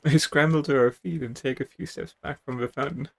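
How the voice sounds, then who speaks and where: A man's voice: on the high side, fairly even intonation, a little fast, gravelly. A man reading a text adventure game aloud in a dramatic storytelling way, now and then tripping over words. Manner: theatrical, stumbles over words while reading.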